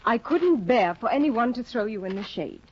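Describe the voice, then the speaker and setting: Actress doing a bitter, imperious young wife. Lively pitch, lo-fi 1950s radio drama sound. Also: female voice, moderate pace, close to an everyday voice. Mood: tense, strained. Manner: icy, bitter, commanding. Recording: dull lo-fi 1950 radio broadcast with faint hiss.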